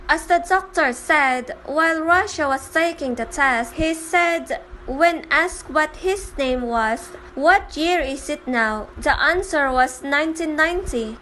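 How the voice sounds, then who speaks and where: High-voiced woman reading drama at a slow pace. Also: bright, forceful tone, slight background noise, rough recording. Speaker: fan comic dubber. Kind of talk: scripted dramatic reading of a comic dub.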